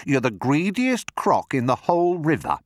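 High, indignant smaller crocodile. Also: male voice, big pitch swings, normal speed. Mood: scornful. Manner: protesting, contradicting. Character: smaller crocodile, indignant, scornful, argumentative naysayer.

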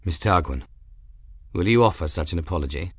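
A man voicing a grave, formal male feline master of arms with measured, ceremonious speech in an audiobook. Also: low voice, animated, breathy edge, no echo, clean studio sound, voice pushed down. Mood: solemn formality. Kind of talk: audiobook character voice.

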